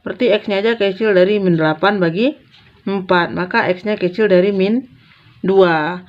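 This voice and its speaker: A woman's voice: medium pitch, moderate pace. A woman explains math step by step in an informal, big-sisterly way, repeating key phrases as she writes. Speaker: math tutor. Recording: dull, lo-fi sound.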